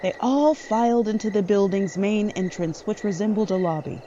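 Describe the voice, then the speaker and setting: A woman's voice: mid-range voice, moderate pace, dark tone. A woman narrating a horror audio drama from a script. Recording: slightly dull audio, faint noise. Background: night crickets and frogs.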